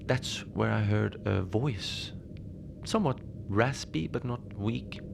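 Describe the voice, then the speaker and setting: A man playing a mild, lonely Antarctic station manager in a cozy horror audio drama, speaking in a hesitant, understated deadpan as he logs his shift. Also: on the low side, slow, creaky, heavily acted. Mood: musing, wistful. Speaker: narrator of a fiction podcast. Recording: noisy ambient bed behind the voice.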